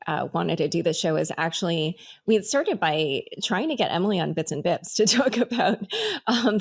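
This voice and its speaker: A woman's voice: fairly low pitch, gravelly. A woman hosting a crypto podcast, asking questions in a conversational, hesitant way with many fillers. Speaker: podcast host. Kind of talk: interview hosting.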